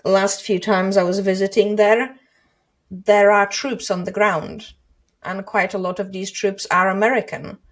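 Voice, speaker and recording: A woman's voice: medium pitch, little pitch movement, unhurried. A woman from Poland, hosting an interview and talking in a thoughtful, hesitant way that restarts mid-sentence. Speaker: podcast host. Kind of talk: casual interview chat.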